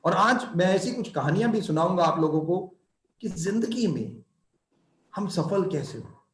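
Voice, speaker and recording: A man's voice: high voice, expressive, a little slow, a little hiss or hum. A middle-aged man giving an earnest motivational talk to distributors, stressing points by repeating phrases. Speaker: company executive speaking to distributors. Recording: echoey room.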